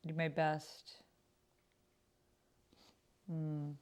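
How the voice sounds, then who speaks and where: A woman's voice: low-pitched, completely flat delivery, drawn-out words, a little mellow, clear, resonant tone. A woman reading chat messages aloud as she types, murmuring to herself in fragments. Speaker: solo roleplay player and video host. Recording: noisy, keyboard typing and clicks. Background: computer keyboard typing, clicks.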